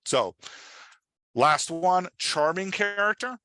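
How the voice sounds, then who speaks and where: A man's voice: high-pitched, expressive, slow, bright, forceful tone, dry recording. A man giving an informal workshop as a writing coach, explaining with examples and often checking in with the listener. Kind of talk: informal instructional presentation.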